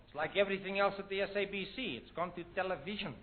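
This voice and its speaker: An actor playing a dim-witted police constable in a radio comedy sketch. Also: male voice, high-pitched, fast, natural voice. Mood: deadpan. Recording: tinny lo-fi radio broadcast with noise.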